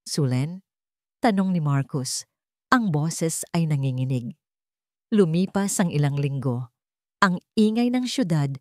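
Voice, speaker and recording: A Filipino woman with a fairly low voice narrates a romantic drama. Her delivery is sentimental and measured, broken into short phrases. The recording is dry and close, with little room sound. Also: darkish tone, no room sound. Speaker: story narrator.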